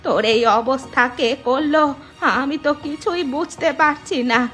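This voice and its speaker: A kindly old village granny, voiced by a woman for a cartoon. She speaks slowly in a high, concerned voice. Faint music runs behind her. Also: a bit bright. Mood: alarmed, concerned. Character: kindly old village grandmother gathering leaves. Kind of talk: cartoon voice acting.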